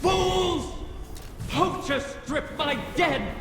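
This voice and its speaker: Monstrous dragon mother, high-pitched, creaky, wrathful, menacing, archaic phrasing, video game creature, echoing. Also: drawn-out words, music in the background. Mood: furious. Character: ancient wrathful wish dragon mother, predatory, imperious. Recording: cavernous reverb, noisy game ambience.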